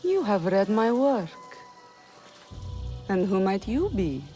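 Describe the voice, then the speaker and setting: A woman's voice: medium pitch, big pitch swings, slow pace, dark tone, soft background music. An actress playing a proud, poised female poet in a video game, speaking formally with cool disdain. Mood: cool, dismissive. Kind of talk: video game cutscene acting.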